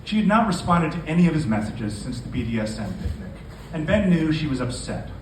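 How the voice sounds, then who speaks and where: A man's voice: a little high, sing-song, a little fast. A man, a novelist, speaking to introduce and read his fiction at a live indoor literary event. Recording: echoey room from a distance, noisy.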